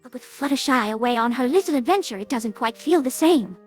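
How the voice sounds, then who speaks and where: A woman's voice: high voice, animated, brisk, slightly rough, soft background music. A woman voicing a refined, fussy lady unicorn pony, speaking in a formal, dramatic way in a cartoon audio drama. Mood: wistful. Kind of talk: animated cartoon-style audio drama dialogue.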